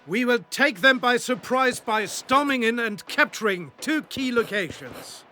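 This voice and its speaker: General in a war game, confident n formal, highish voice, music behind him. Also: male voice, lively intonation, a little slow, pushed and bright, heavily acted. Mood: calm, calculating. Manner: formal, authoritative, clipped commands. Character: commanding German general, confident, calculating desert war strategist. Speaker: commanding officer giving mission briefings. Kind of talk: scripted video game cutscene and briefing acting.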